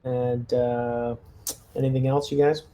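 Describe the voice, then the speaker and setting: A man's voice: medium pitch, level tone, slow, dark tone, a little hiss or hum. A man casually talking through plans as a player in a tabletop roleplaying game.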